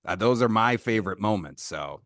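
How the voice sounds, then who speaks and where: A man's voice: on the low side, animated, a little fast, fairly bright tone, no echo, clean studio sound. A man hosting a podcast interview, asking long, winding questions full of restarts and gushing praise. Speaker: podcast host.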